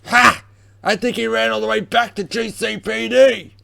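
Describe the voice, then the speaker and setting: A man playing a gloating, excitable street thug with a mocking manner in a game fan dub. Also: sits high, normal speed, creaky, exaggerated voice.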